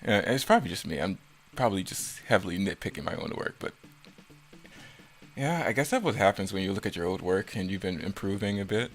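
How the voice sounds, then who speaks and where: A man with a fairly low voice reviews his own work in an unscripted way. He rambles casually and picks at his own flaws as he goes. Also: expressive, a little fast. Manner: self-critical, rambling. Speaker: creator commenting on own work.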